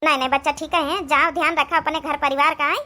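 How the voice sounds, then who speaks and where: Woman voicing a resigned Awadhi village mother, bright-toned. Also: sits high, fairly even intonation, fast talker. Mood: placating. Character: older village mother of a married daughter, resigned, advising. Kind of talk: cartoon comedy voice acting.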